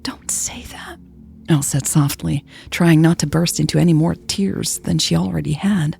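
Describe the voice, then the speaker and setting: A woman's voice: deep voice, steady tone, moderate pace, vocal fry, mellow, husky, faint music behind. A woman narrator reading scripted romantic fiction as a storyteller.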